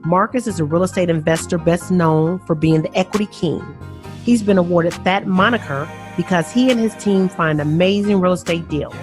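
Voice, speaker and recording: A woman reading a scripted show introduction as announcer, smooth and measured. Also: on the low side, soft background music.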